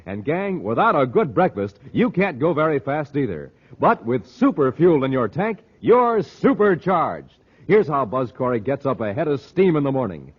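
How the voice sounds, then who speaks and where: A man's voice: mid-range voice, very animated, moderate pace, few pauses, breathy edge. Man, American, 1950s radio announcer, dramatic, very varied intonation, muffled old recording. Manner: dramatic, punchy salesmanship.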